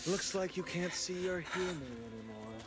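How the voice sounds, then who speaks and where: A man's voice: a little high, lively intonation, normal speed, over loud music, lightly acted. An actor playing a gloating, contemptuous male villain in a video game, with a taunting, sneering manner. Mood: smug.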